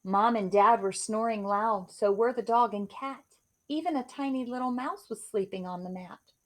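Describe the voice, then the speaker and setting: A woman's voice: on the high side, normal speed. A woman reading a rhyming children's story aloud in a theatrical, emphatic way. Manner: theatrical, emphatic delivery of rhymes. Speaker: storybook reader.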